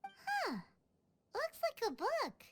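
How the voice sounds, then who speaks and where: A woman voicing a tiny, chatty, excitable fairy companion in an anime game. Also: very high voice, slow, background noise, full character voice. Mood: excited. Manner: refers to herself in third person, chatty, expressive.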